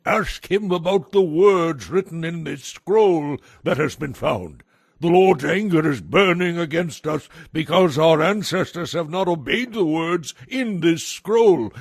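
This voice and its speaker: An actor playing a troubled, penitent king of Judah in a solemn scripture drama, giving slow, weighty commands with a gravelly, husky voice. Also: on the high side, no room sound, clean studio sound, heavily acted.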